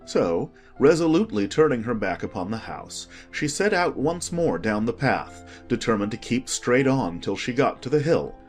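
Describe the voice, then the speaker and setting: A man narrating a children's story as an expressive, scripted audiobook reading. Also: mid-range voice, moderate pace, hardly any pauses, faint music behind.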